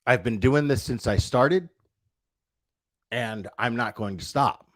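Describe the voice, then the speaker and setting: A man's voice: medium pitch, slow, no echo. A man delivering a livestreamed opinion rant in a blunt, profane, confrontational way, repeating himself for emphasis and asking rhetorical questions. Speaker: online commentator, livestreamer.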